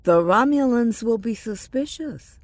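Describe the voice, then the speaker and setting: Resolute veteran woman captain played by an actress, unhurried and lively in pitch, measured dry authority with weariness, sci-fi audio drama. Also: no echo. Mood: dry, defiant. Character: weary, resolute veteran female starship captain. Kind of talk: science fiction audio drama acting.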